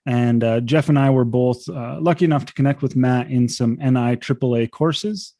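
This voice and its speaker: A man co-hosting a podcast, introducing and questioning a guest in a casual, relaxed way. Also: medium pitch, moderate pace, no room sound, studio quality. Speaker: podcast co-host. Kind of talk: podcast interview.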